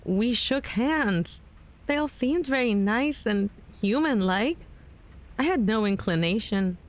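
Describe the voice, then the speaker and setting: A woman's voice: on the high side, expressive, slow. A woman playing a shaken, disbelieving character, anxious and questioning, in an audio drama. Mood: worried. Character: shaken, disbelieving woman fearing for loved ones.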